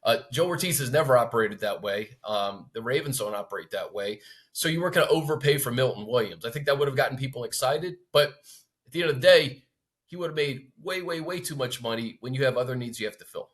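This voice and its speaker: A man co-hosting a sports talk show, thinking aloud in a measured, conversational way as he gives unscripted analysis. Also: mid-range voice, animated, fairly bright tone.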